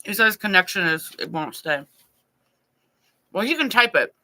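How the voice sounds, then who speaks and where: A woman's voice: mid-range voice, lively intonation, moderate pace, pushed and bright, no echo. A woman in her thirties hosting a live talk panel and asking candid, probing questions. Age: 30s. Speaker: host.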